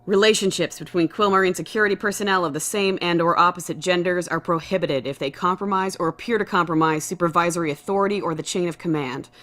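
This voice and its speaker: Fast-talking, by-the-book woman as a female officer. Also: on the low side, fairly bright tone, lightly acted. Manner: formal, procedural, unyielding. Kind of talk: naturalistic audio drama acting.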